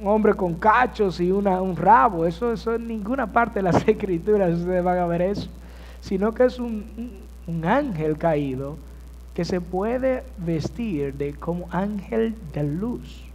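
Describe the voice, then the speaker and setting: A man's voice: high-pitched, a little slow. A man preaching a sermon as pastor to his congregation, asking them questions and answering them himself. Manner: addresses congregation with rhetorical questions. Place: church sanctuary. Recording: church hall reverb.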